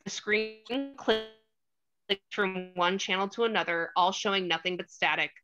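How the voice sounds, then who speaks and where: A woman's voice: medium pitch, unhurried, dull audio, music in the background. A woman reading stage directions as scripted narration at a table read.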